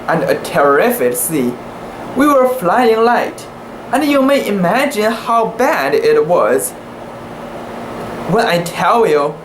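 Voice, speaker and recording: Slow, highly animated dramatic narrator. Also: slight background noise, low-quality recording. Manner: deliberate, theatrical phrasing with occasional stumbles. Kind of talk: amateur dramatic reading of a classic sea story.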